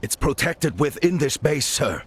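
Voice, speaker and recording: Hesitant, slightly husky military aide. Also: male voice, a little high, very animated, measured pace, a bit bright, full character voice. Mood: cautious. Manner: hesitant, deferential.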